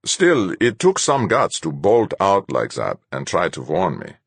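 A man voicing a hard, husky spaceship captain. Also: low voice, wildly swooping pitch, measured pace, no echo, voice pushed up, full character voice. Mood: cool, musing. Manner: terse, commanding, coolly taunting. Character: hard, unflappable sea-captain type commanding a spaceship crew.

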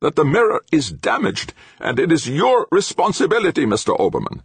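A man's voice: a little high, sing-song, brisk, husky, dry recording, pitched above the performer's own voice, exaggerated voice. A man voicing an icy, indignant professor in an audiobook, with clipped, formal and imperious delivery. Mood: deadly angry.

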